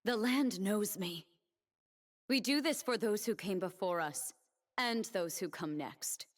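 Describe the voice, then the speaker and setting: A young female blade dancer for a video game. She speaks slowly and solemnly, with earnest resolve. Every line is measured and determined, the voice of a fighter devoted to her cause. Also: mid-range voice, lively intonation. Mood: solemn, resolute. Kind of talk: scripted video game character voice lines.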